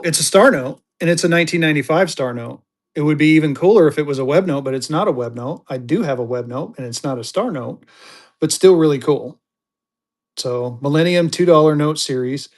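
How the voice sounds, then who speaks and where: A man hosting a live-stream auction of paper money, chatty, jokey and excitable. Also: fairly high pitch. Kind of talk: casual live-stream selling chat.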